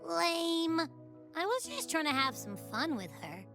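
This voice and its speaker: A woman's voice: very high-pitched, very animated, unhurried, fairly bright tone, soft background music, full character voice. A woman voicing a playful, childlike little creature companion in a video game. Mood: sulky.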